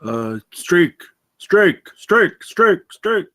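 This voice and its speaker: A man's voice: sits high, very animated, drawn-out words, pushed and bright, no echo, full character voice. A man playing an awkward, hesitant young graduate student at a comedy table read.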